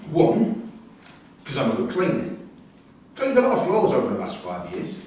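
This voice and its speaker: An actor playing a defiant, quick-tempered prisoner and father, blunt and sweary, in a staged play reading. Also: a little high, huge pitch swings, darkish tone. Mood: cocky, insistent. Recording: distant echoey hall with background noise.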